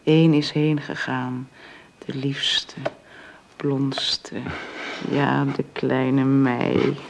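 A woman's voice: very deep voice, little pitch movement, extremely slow, gravelly, slight background noise, exaggerated voice. An actress playing a devoted, dutiful woman, tender but firm, in a natural conversational radio drama style. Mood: soft reciting.

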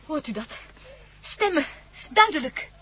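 An anxious wife in a radio play, alert and on edge. Her voice is high, bright and animated, with faint noise behind it. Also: unhurried, exaggerated voice.

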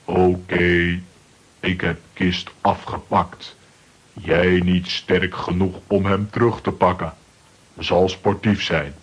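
An actor playing a gruff, blunt strongman who talks in clipped telegram phrases, in a children's comic-strip radio play. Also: deep voice, little pitch movement, slow pace, exaggerated voice, rough recording. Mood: firm, challenging. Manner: clipped telegram-style sentences without articles. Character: gruff male strongman of few words, blunt and sure.

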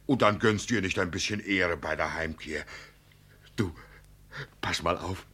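An actor playing a doting old country father in a radio play, dreamy and playfully boastful, with a husky voice and a singsong manner. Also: low-pitched, sharp tone, full character voice. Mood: fond, dreamy. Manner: slow, singsong, savouring his words. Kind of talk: German radio play acting.

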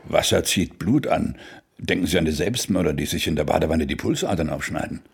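A male actor in a German radio crime drama playing a sardonic police investigator who questions pointedly, with a gravelly, husky voice. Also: very deep voice, a little fast, exaggerated voice. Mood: skeptical.

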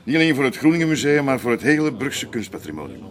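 An actor with a Flemish accent playing a formal, measured, somewhat evasive museum curator in an audio drama. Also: male voice, mid-range voice, very animated, natural voice. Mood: grave. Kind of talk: naturalistic audio drama acting. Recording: echoing hall, background noise.

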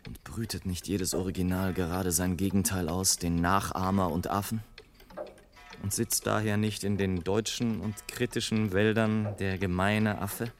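A scornful airship traveller with a deep, low voice. He declaims his bitter view of mankind in a sardonic, rhetorical way. It is a literary radio play, with music running behind the words. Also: fairly even intonation, a little slow. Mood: indignant, haranguing. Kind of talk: literary radio play monologue, late 1980s.

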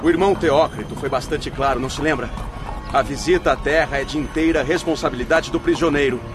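Um ator interpretando o líder de uma guarda espiritual, autoritário e formal, numa radionovela. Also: male voice, on the high side, fast talker, music in the background, close to an everyday voice. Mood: firm, commanding. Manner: authoritative, formal. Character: commanding male spirit guard leader, stern.

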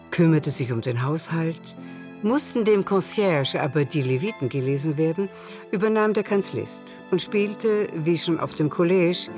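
Low-pitched female literary narrator. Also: darkish tone. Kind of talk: literary scripted narration for a radio play.

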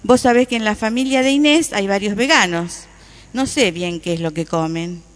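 An actress plays an appreciative woman customer talking to her friend in a radio drama. Her voice is medium in pitch with a Rioplatense Argentine accent. It was recorded with a long reverberant tail. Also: normal speed, lightly acted. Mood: friendly. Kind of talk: radio play acting. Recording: reverberant room with long echo.

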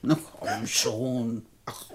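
An actress playing a rich, shrewd old widow in a 1960 German radio play, deliberate and wry, with halting repetitions. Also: female voice, extremely low pitch, noisy background, full character voice. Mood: amused, forgiving. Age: older.

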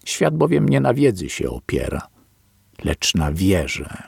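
Low gravelly man reading slowly. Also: huge pitch swings, darkish tone, no room sound, studio quality. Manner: slow, weighty and deliberate, like a sermon. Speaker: narrator. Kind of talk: solemn audiobook reading of a philosophical text.

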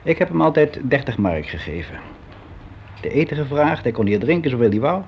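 An actor playing a weary, resigned office clerk around sixty, confiding in a halting, reflective way in a naturalistic radio play. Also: male voice, mid-range voice, very animated. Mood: matter-of-fact. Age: 60s. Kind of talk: naturalistic radio drama monologue. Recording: dull, noisy radio play recording.

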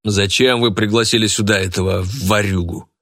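Guy doing a snooty hothead businessman in an audiobook, husky, declaiming with righteous scorn. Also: lowish voice, moderate pace, a bit bright, no room sound, clean studio sound. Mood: outraged. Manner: contemptuous, declamatory. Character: haughty, hot-tempered male businessman, righteously outraged.

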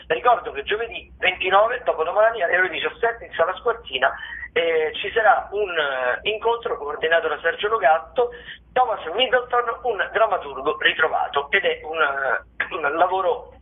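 Husky, higher-voiced man on phone line. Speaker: radio theatre correspondent presenting weekly listings. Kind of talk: semi-improvised spoken review of upcoming shows. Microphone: telephone call into a radio programme. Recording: narrow tinny phone line.

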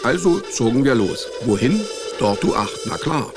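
An actor playing a wry, hapless male private detective, deadpan in his narration and stammering when cornered, in a radio drama. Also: mid-range voice, normal speed, over loud music, close to an everyday voice. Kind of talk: radio drama, first-person hardboiled comic narration.

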